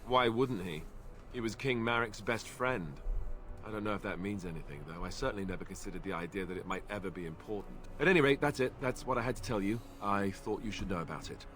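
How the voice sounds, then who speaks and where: A man voicing a self-deprecating young male warrior who stammers and jokes his way past hard subjects, speaking in a low voice. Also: fairly bright tone, soft background music, natural voice. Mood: wry. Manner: halting, stammering, wry.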